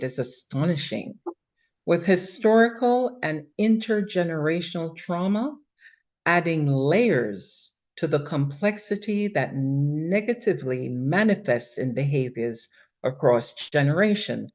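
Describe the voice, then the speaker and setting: A woman co-hosting a talk show, laying out points in a measured, list-like delivery. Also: fairly low pitch, muffled recording. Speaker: podcast co-host. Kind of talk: prepared talk-show discussion.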